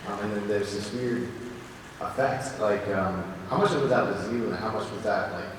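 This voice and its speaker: A man in the audience asking the panel a question in a hesitant way, with many pauses and "um"s. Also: lowish voice. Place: large convention hall. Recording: echoey hall, heard from far off, background noise.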